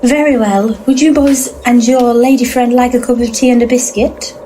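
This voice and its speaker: A high-pitched old woman, acted by a woman for a comedy audio play. She is polite and flustered, with clear noise behind her voice. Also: steady tone, moderate pace, natural voice. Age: older. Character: anxious, polite old lady client in haunted home. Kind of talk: comic audio drama acting. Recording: clearly noisy background.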